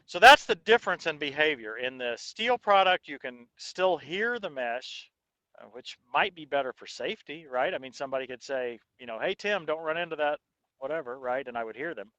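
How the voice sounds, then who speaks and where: Male reviewer, bright forceful tone, casual and explanatory, dry close headset mic. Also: a little high, expressive, fairly quick, no room sound, rough recording. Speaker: host and product reviewer. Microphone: intercom headset microphone.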